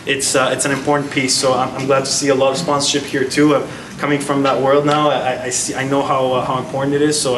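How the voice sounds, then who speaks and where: A man in his thirties, a former athlete, answering onstage interview questions earnestly, with many restarts. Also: medium pitch. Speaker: guest speaker, former athlete. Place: large gala hall. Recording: echoey hall, heard from far off, noisy.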